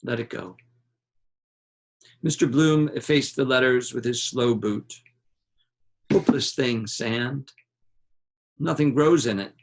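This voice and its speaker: A man reading a literary novel aloud in a measured, dramatic way, with deliberate pauses between short phrases. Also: medium pitch.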